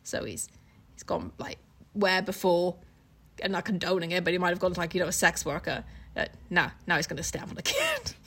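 A woman's voice: on the low side, big pitch swings, fairly quick, fairly bright tone, breathy edge. A woman co-hosting a casual book podcast, reading aloud and commenting with irreverent, sweary, mocking asides.

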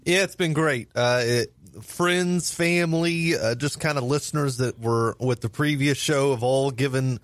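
A man, the show's producer, chatting casually in an easygoing, soft-spoken way. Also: medium pitch, a little slow, few pauses, no room sound. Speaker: podcast producer, co-host. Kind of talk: casual chat.

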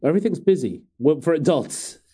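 A man co-hosts a comedy podcast, tired and loopy, teasing his partner and giggling often. His voice is warm and relaxed, with a very lively pitch. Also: a little fast, slightly rough. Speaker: podcast co-host. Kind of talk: casual comedic chat.

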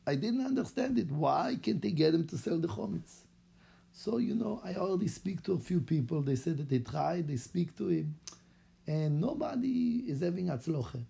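A man's voice: on the high side, big pitch swings, moderate pace, vocal fry, warm tone, breathy edge, full character voice. A man putting on an eager Israeli rabbi. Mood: earnest. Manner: eager, chatty storytelling in broken English. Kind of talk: acted-out story for children.

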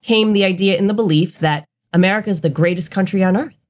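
A woman's voice: mid-range voice, fast, darkish tone. A woman co-hosting a podcast, talking in an earnest, emphatic, blunt way in casual conversation. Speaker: podcast co-host.

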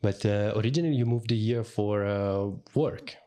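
A man's voice: lowish voice, moderate pace, warm tone. A man hosting a casual interview podcast, asking friendly questions with some fillers. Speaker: podcast host.

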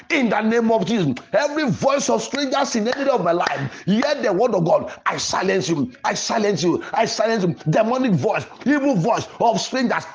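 Guy preacher leading fervent prayer, pretty high voice, really animated, keeps repeating stuff insistently. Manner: insistent, repetitive, declamatory. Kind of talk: fervent deliverance sermon and prayer.

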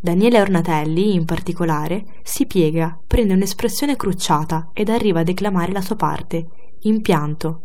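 A woman's voice: fairly low pitch, normal speed, dark tone. A woman presenting a scripted festival report as host and narrator.

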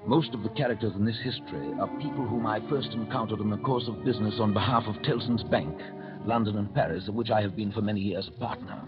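Composed banker-narrator male actor, deep, measured radio-drama delivery, dull old recording with music. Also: natural voice. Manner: measured, authoritative. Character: English banker recounting history, composed and grave.

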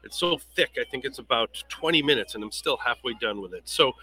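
A young adult man hosting a podcast interview. His voice is very bright and sharp, sitting at a middle pitch. He talks in an upbeat, chatty, casual way. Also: animated, fairly quick, breathy edge. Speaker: podcast host.

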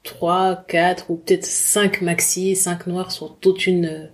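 A woman's voice: lowish voice, flat delivery, slow pace. A woman in her thirties from Congo, talking casually as an interview guest, with many fillers and restarts.

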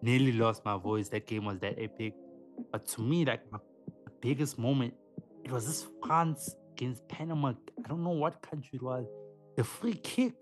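Guy co-hosting a chatty podcast, lively and slangy, steady mid voice, music playing loud underneath. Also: medium pitch, moderate pace. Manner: animated, slangy, often says "man" and "dog". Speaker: podcast co-host. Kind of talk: casual chat.

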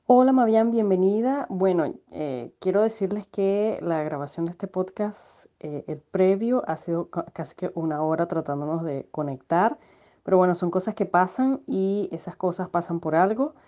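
A woman from Venezuela with a Venezuelan accent, hosting a podcast interview and filling pauses with many "eh" and "este" sounds. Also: on the low side, lively intonation, mellow. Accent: Venezuelan Spanish. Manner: hesitant, many fillers. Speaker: podcast host. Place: home setup, recording at night.

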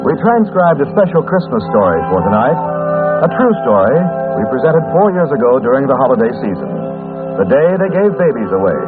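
A man's voice: medium pitch, very animated, fairly quick. An American man announcing and narrating in old-time radio style. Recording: tinny old radio broadcast with music. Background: music.

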